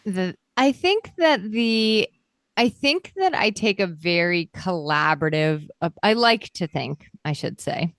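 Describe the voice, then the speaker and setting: Chatty lower-voiced woman, dry sound. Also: expressive, measured pace, no room sound. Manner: reflective, chatty, laughs often, many fillers. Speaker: guest, copywriter. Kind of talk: conversational interview.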